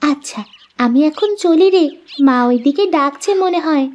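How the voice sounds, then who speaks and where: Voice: high voice, measured pace, a little mellow, muffled recording. A voice actor playing a sweet, eager young village girl in a cartoon fairy tale. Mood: chatty.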